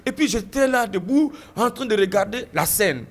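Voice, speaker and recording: Animated high-voiced man telling his story. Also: normal speed. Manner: animated storytelling with frequent stammered restarts; repeats phrases for emphasis. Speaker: guest giving a testimony. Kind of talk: recounting a personal story in an interview.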